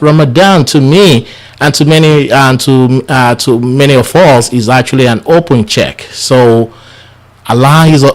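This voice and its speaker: A man whose first language is Yoruba gives a bilingual Islamic lecture in English. He sounds tired and talks earnestly, with halting restarts. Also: mid-range voice. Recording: studio lecture for radio/TV.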